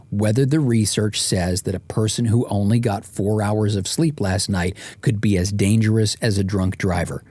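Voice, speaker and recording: A man reads scripted nonfiction in the first person, as an author narrating his own audiobook. His phrasing is measured and deliberate, with a mid-range pitch. The sound is dry and close, free of room echo. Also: a little mellow, husky. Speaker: podcast host and author reading own audiobook.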